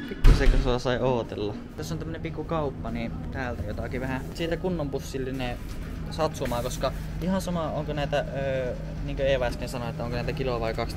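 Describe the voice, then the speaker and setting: Guy in his 20s just rambling casually to his camera, slang, really noisy recording. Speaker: travel vlogger. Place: mostly inside a parked or moving car at night, some in a small shop. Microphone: phone or camera at arm's length. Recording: noisy handheld recording with car rumble.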